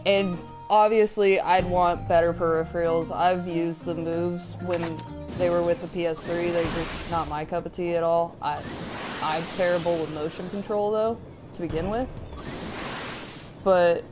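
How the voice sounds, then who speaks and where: A woman's voice: on the low side, steady tone, unhurried. A woman hosting a gaming chat in a casual, teasing way, chatting and reading out comments. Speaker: gaming show host, reading viewer chat. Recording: loud music behind the voice. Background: music.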